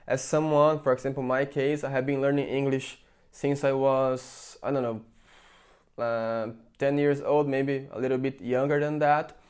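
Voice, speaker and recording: A man's voice: medium pitch, flat delivery, measured pace, darkish tone, smooth. A man from Brazil with a Brazilian Portuguese accent, speaking English in a chatty, rambling opinion vlog full of fillers and restarts. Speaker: vlogger. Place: in England.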